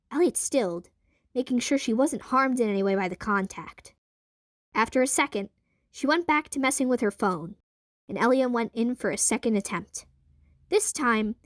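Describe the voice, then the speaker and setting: A woman's voice: a little high, no echo, clean studio sound. A young adult woman reading scripted narration for an audio drama.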